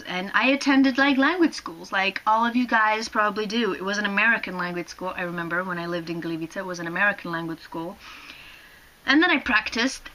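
Lively young Polish woman, bright voice. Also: mid-range voice, low-quality recording. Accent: Canadian-tinged English. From Poland. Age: young adult. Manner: animated, gesturing, frequent restarts and laughs. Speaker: vlogger. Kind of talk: casual storytelling to camera. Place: small room indoors, sitting on a bed.

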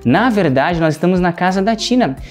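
A man's voice: high-pitched, expressive, brisk, a little mellow. A man in his thirties hosting a home product video, explaining features fluently in a large modern kitchen. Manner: fluent, explanatory, many fillers like "enfim". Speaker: host. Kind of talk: presenting a product walkthrough. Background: faint music.

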